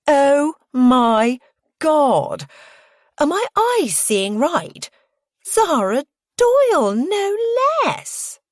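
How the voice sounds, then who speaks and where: A woman's voice: mid-range voice, big pitch swings, unhurried, dry recording, clean studio sound. A woman voicing a brash young female schemer in an audiobook, gushing, taunting and smug. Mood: shrieking excitement.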